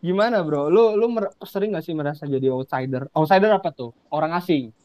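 A man's voice: fairly high pitch, fairly quick, crowd noise. A young man with a Jakarta Indonesian accent, hosting a podcast in casual, slangy chat on slightly dull-sounding audio. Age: young adult. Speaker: podcast host.

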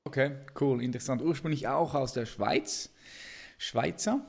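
A man with a mid-pitched voice hosts a podcast. He talks informally and with hyped enthusiasm, in a casual presenting style. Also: normal speed, creaky, a little mellow. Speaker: podcast host. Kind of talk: casual podcast introduction and interview.

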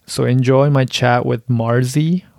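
A man's voice: medium pitch, steady tone, measured pace, creaky, darkish tone, slight background noise. A man hosting a podcast, interviewing a guest in an easygoing way and laughing along. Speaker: podcast host.

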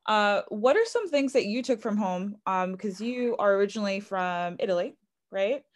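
A woman's voice: mid-range voice, expressive, normal speed. A woman hosting a podcast, upbeat and filling her sentences with ums. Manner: enthusiastic, lots of fillers. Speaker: podcast host. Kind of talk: casual podcast interview.

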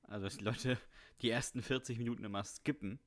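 A man's voice: mid-range voice, fairly even intonation, fast talker. A man co-hosting a podcast, talking casually and a little hesitantly, with frequent fillers. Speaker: podcast co-host.

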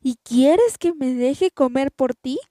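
A woman voicing a frightened, indignant runaway tortilla in a storybook reading, with a high, loud, exclaiming voice. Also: slow, no echo, studio quality.

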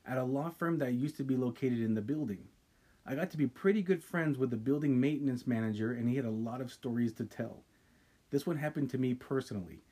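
Male podcast co-host, mellow husky tone, reads listener emails dramatically. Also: mid-range voice. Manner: reads with dramatic pauses, breaks off to react. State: sipping wine. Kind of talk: casual podcast chat, reading listener stories aloud.

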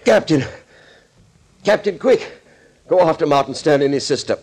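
An actor playing a desperate doctor in a 1940s radio serial, gasping out urgent, broken phrases. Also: male voice, fairly high pitch, expressive, normal speed, a little mellow, husky. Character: desperate doctor, just freed from a gag.

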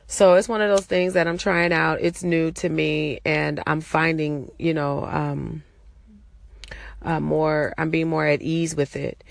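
A woman's voice: deep voice, fairly even intonation, unhurried, vocal fry, dry recording, clean studio sound. A woman in her forties with a Los Angeles accent, vlogging loosely from her car while she drives, swearing casually and filling gaps with lots of ums. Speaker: vlogger and teacher. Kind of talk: casual chatty vlog.

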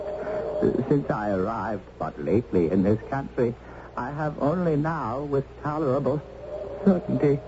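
An actor playing a proud, protective English gentleman in an old-time radio drama, with formal, florid, theatrical speech. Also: mid-range voice, close to an everyday voice. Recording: muffled, faintly noisy old radio broadcast.

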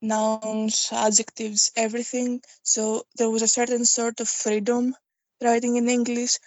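Slow-spoken woman with a Greek accent. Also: on the high side, monotone, darkish tone, no room sound, low-quality recording. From Greece. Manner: thoughtful, unhurried, halting with fillers. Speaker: guest poet. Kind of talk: casual interview chat.

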